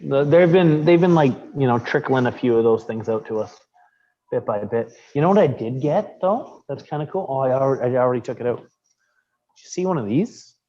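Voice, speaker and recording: A man co-hosting a casual live-stream chat, talking in a relaxed, rambling way with lots of fillers and restarts. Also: mid-range voice, normal speed, highs cut off. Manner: rambling and relaxed, with many fillers and self-corrections. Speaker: co-host of a knife shop Q&A stream.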